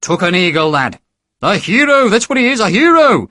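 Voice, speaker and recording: A man voicing a boastful, jovial recruiting sergeant who flatters young men with a theatrical, wheedling manner. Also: sits high, normal speed, no room sound, studio quality, pitched above the performer's own voice, full character voice.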